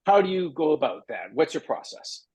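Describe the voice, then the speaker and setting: A man hosting a casual interview and retelling events in an excited, storytelling way. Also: a little high, moderate pace, breathy edge. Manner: enthusiastic, tells stories with quoted dialogue. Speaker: host.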